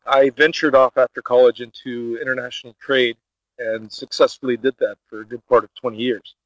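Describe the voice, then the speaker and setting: A man who grew up in Brazil with Portuguese as his first language, talking as a podcast guest and pausing on many filler sounds. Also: mid-range voice, fairly even intonation, no echo. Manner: hesitant, many filler uhs. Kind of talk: casual interview talk. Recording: dull, dry lo-fi sound.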